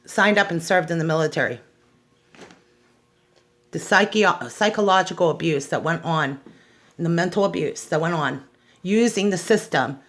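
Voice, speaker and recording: A middle-aged American woman giving an unscripted personal monologue, speaking in an aggrieved, emphatic way and repeating phrases for stress. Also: fairly low pitch. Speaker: host of a personal awareness show.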